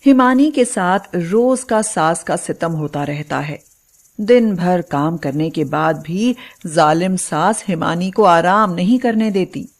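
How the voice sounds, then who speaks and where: A woman's voice: on the low side, expressive, slow. A woman narrator reading a scripted dramatic family story.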